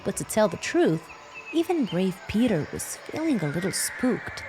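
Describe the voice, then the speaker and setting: A woman narrating a children's bedtime story, telling it warmly and addressing the listeners directly. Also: medium pitch, sing-song, normal speed, poor audio. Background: music.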